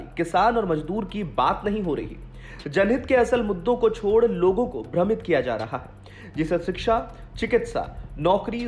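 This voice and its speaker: A woman's voice: medium pitch, darkish tone. A woman presenting news in a scripted narration style, introducing political reports. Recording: voice-over with faint music bed.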